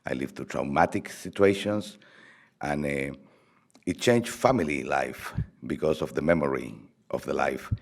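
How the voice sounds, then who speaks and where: Male artist, very low voice, Chilean Spanish accent, native Spanish speaker, reflective and halting delivery. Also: gravelly. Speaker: visual artist. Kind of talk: reflective talk about own work.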